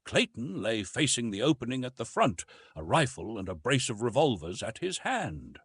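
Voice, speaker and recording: A man narrating classic adventure fiction as an audiobook, with dramatic, deliberate phrasing. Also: mid-range voice, sing-song, a bit bright, husky, no room sound.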